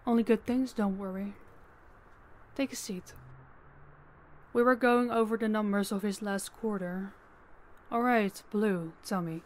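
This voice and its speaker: A woman's voice: mid-range voice, fairly even intonation, measured pace, pauses often, natural voice. Woman acting as commanding, formal crime boss. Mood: cool, polite. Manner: formal, authoritative, clipped. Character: commanding, demanding female crime boss, coolly businesslike. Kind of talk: roleplay audio drama acting.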